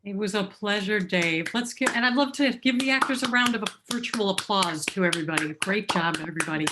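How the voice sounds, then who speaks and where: A woman's voice: medium pitch, fairly quick. A woman, a theater director, giving informal thanks on a very noisy recording with clicks.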